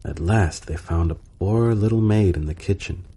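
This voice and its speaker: A man narrating a bedtime storybook in a calm, soothing and unhurried manner. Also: sits low, slightly rough.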